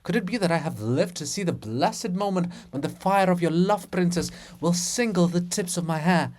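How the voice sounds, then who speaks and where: A man's voice: high voice, expressive, normal speed, a little mellow. A man voicing a lovesick monument of an apostle, pleading floridly and melodramatically as a scorned suitor in a farce. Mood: florid wooing.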